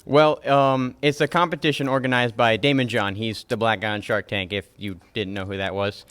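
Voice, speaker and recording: A man's voice: on the low side, steady tone, moderate pace, fairly bright tone, clean tone. A teenage boy being interviewed as a student entrepreneur, explaining things in an easygoing way. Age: teens.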